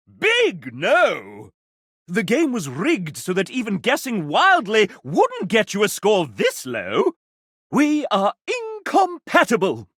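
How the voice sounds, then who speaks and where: An actor voicing a sassy, flamboyant video game host, speaking in a grandiloquent, arch and melodramatic way. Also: male voice, a little high, a bit bright, husky, dry recording, clean studio sound, exaggerated voice. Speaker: voice actor playing a game show host character. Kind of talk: theatrical video game character acting as a game show host.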